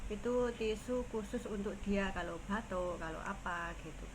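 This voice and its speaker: A woman from Indonesia with a Javanese Indonesian accent, a caregiver teaching phrases in a casual lesson, saying each one slowly twice. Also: mid-range voice. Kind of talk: casual language lesson vlog. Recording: noisy ambience.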